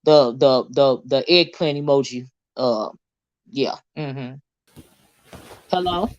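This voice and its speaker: A woman hosting a casual livestream with sassy, animated, teasing talk and frequent laughter. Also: a bass voice, slow pace, no echo, dull audio. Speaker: channel host.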